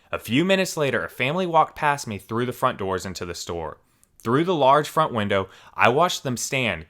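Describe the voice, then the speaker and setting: A man hosting a story channel, reading forum posts aloud in a steady, even storytelling manner. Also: lowish voice.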